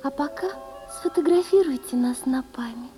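Woman as a high, mellow snake princess. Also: moderate pace, close to an everyday voice. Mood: hushed. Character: grateful, gentle magic snake princess. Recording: music behind the voice.

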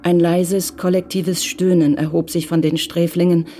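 A woman narrating a German radio play from a script in a measured, matter-of-fact way. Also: fairly low pitch, level tone, dark tone, slight background noise.

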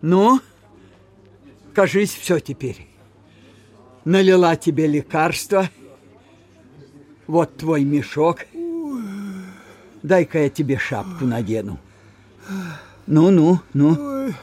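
An actress playing a brisk, insistent peasant servant woman in a radio play, with rustic folk speech. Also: deep voice, very animated, darkish tone, heavily acted. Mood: busy, coaxing. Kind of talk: Soviet radio theatre acting.

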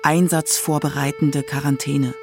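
A woman's voice: low voice, level tone, unhurried, creaky, over music. A woman reading scripted narration for a radio documentary feature.

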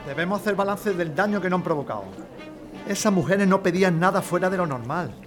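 An actor from playing a dutiful, aggrieved association member in an audio drama. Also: male voice, sits high, fast, over music, close to an everyday voice.

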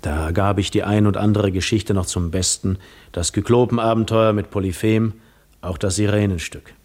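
Weary, lonely Greek wanderer played by a male actor, lowish voice, measured literary storytelling for a 1950s radio play. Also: fairly even intonation, darkish tone, lightly acted. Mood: brooding. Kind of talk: 1950s German radio drama narration.

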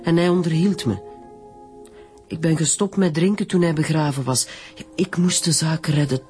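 An actress with a Flemish accent playing a bitter widow making a confession in a radio drama. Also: female voice, deep voice, moderate pace, dark tone, soft background music, close to an everyday voice. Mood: resigned, confessing. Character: bitter, confessing middle-aged widow of an undertaker.

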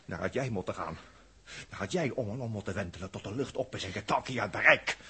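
An actor playing a bitter spaceman who taunts and accuses in a husky, raspy voice, in a 1960s radio drama. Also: fairly low pitch, lively intonation, fast talker, pushed and bright, heavily acted. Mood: bitter accusing. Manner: taunting, repeats phrases for menace.

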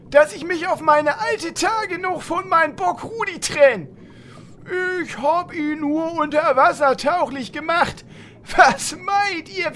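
A woman acts out a feisty old warrior in a comedic fantasy radio play. The voice is high and takes its time, leaning hard on words. There is faint noise behind it. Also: fairly bright tone, exaggerated voice. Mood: boastful. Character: feisty old tank warrior devoted to pet goat. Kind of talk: comedic fantasy audio drama acting.

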